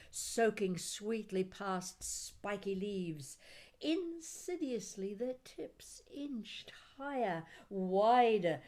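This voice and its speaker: Slow-paced woman reading poetry expressively. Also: medium pitch, darkish tone. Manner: deliberate, measured phrasing with dramatic pauses. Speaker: poet reading own work. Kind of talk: expressive poetry reading with brief chatty asides.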